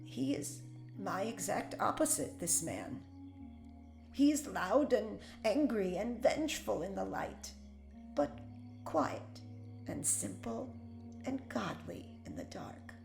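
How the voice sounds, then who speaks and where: A woman playing a proud, devout older Puritan prisoner in a period audio drama, speaking solemnly and deliberately in archaic phrasing. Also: mid-range voice, very animated, creaky, a little mellow, soft background music, exaggerated voice. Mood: tender, solemn. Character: defiant, devout older imprisoned Puritan wife, proud and unbending. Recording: roomy echo.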